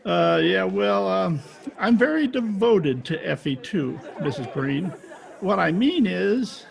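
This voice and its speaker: A man's voice: high voice, sing-song, takes it slowly, vocal fry, some chatter behind, full character voice. A man playing a tough, sardonic private detective in old-time radio drama style, recorded at home over a video call. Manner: hard-boiled, sardonic. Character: tough, wisecracking male private detective, impatient with sentiment.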